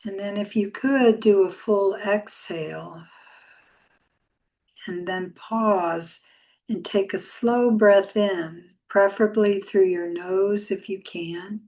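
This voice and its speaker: A woman leading a guided meditation as a yoga teacher, speaking softly and soothingly with long, unhurried pauses. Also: medium pitch, rough recording.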